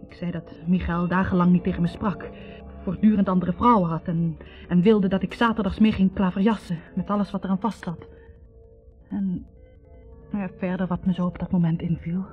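An actress playing a wounded young woman in a 1960s radio drama, bitterly and haltingly confessing a painful past. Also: on the low side, fairly even intonation, faint music behind, natural voice. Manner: hesitant, halting, introspective. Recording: dull vintage radio recording.

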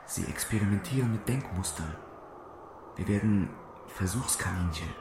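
A man's voice: low voice, lively intonation, normal speed, heavy background noise. A man playing a cocky, flirtatious programmer in a German radio drama, with a teasing, worldly-wise manner. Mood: tense, warning. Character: cocky, flirtatious male programmer stranded in a game desert.

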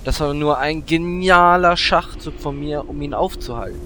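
A man's voice: fairly high pitch, moderate pace, sharp tone. A man plays a sulky adventurer forever excusing himself in a fantasy parody radio play. Faint noise lies behind the voice. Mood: sheepish. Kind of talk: parody fantasy audio drama.

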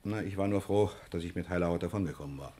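A man acting a courteous, well-spoken pharmacist under questioning in a 1960s German radio crime play, talkative, with a husky voice. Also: low voice, fast talker, mellow, natural voice. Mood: vivid recounting.

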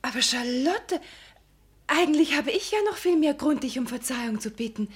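A woman's voice: sits high, expressive, normal speed, husky, full character voice. An actress playing a pious, fawning married woman in a radio drama, hesitant and over-polite, with diction. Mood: surprised, polite. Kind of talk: German radio drama acting.

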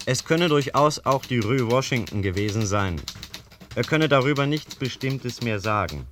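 Dutiful investigator, male actor reporting formally, middle-pitched, measured. 1950s German radio drama with clear background noise. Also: lively intonation, a bit bright, lightly acted. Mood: matter-of-fact. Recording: clearly noisy old radio play recording.

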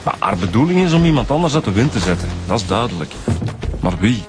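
Low-voiced, sceptical Flemish detective. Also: male voice, big pitch swings, quick pace, music in the background. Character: sceptical, dry male police detective. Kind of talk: conversational radio drama dialogue.

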